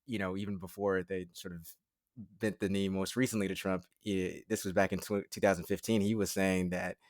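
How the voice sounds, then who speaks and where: A man's voice: low voice, level tone, fairly quick, no room sound, clean studio sound. A man in his forties co-hosting a podcast, asking long, rambling questions with fillers and easy laughs. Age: 40s. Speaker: podcast co-host. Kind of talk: casual podcast interview.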